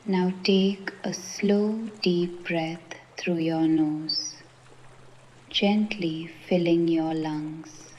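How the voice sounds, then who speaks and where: Soft soothing lady doing guided meditation, really slow, warm mellow tone, bit of hiss behind her. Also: fairly low pitch, dull audio. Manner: slow, gentle, soothing, with pauses.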